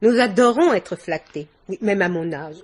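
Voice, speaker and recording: Woman playing older society grande dame, gushing and sly, swooping intonation, husky voice, mannered radio drama delivery. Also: medium pitch, lively intonation, moderate pace, close to an everyday voice. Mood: mannered, charming. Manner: theatrical, effusive, mannered, little laughs. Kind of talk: vintage French radio drama acting.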